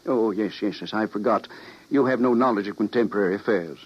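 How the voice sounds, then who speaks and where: Sardonic, menacing radio-drama official. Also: male voice, fairly low pitch, breathy edge. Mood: smug. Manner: cool, condescending, deliberate menace. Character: huge, menacing, sardonic government scientist and official, a man. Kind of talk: old-time radio drama acting. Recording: dull old radio recording.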